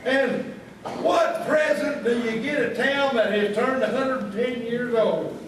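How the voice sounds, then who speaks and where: A man's voice: very high voice, a little slow, a little hiss or hum. A man playing a daft, folksy small-town sidekick in a comic radio double act, rambling on obtusely. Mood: amiably muddled. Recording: distant, very echoey stage, audience behind.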